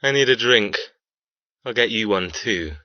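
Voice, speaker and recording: Casting: male actor as cruel young dark-romance antihero, low voice with a hard, forceful edge, brooding audiobook delivery. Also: animated, unhurried, no room sound, highs cut off. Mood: irritated, cold. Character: cold, cruel, menacing young man narrating dark romance. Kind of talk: dramatic first-person audiobook narration.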